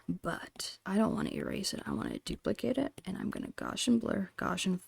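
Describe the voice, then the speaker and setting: A woman softly narrating her digital art in an ASMR style, murmuring in short, breathy phrases close to the microphone. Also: mid-range voice, a little fast, dry recording. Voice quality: breathy, whispery. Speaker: digital artist hosting an art channel.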